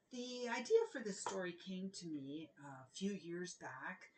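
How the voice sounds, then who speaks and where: A middle-aged woman speaks as a children's author sharing a story. Her delivery is slow and deliberate, with expressive emphasis on key words, suited to reading aloud to young listeners. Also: medium pitch, low-quality recording. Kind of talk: children's read-aloud and informal author talk.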